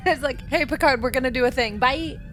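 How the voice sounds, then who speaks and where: A woman's voice: high voice, brisk. A woman chatting casually during a tabletop game. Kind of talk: casual chat. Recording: clearly noisy background hum.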